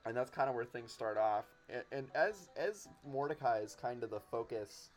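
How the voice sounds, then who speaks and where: A man with a medium-pitched voice chats in an enthusiastic, rambling way. He sounds like a podcast guest in casual conversation, with game music playing faintly underneath. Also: animated, normal speed. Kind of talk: casual chat about cartoons.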